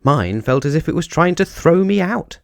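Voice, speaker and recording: A man acts out a young bear from a children's storybook. He is polite and earnest, easily delighted, with courteous phrasing. The voice is raised and swings in pitch, in a dry, close recording. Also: lively intonation, normal speed, creaky, no echo. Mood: rueful. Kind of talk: children's storybook read-aloud.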